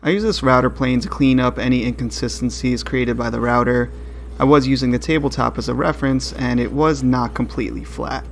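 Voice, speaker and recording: A man's voice: medium pitch, steady tone, fairly quick, gravelly, a little hiss or hum. A man narrating a woodworking build step by step in a plain, matter-of-fact voice-over. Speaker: woodworking channel host.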